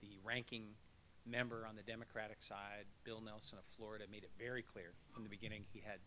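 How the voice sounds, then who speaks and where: Bright-voiced man giving a wry policy update. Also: lowish voice, level tone, fairly quick, slight background noise, low-quality recording. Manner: conversational, wry policy briefing. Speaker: association executive giving a legislative update. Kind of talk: conference speech.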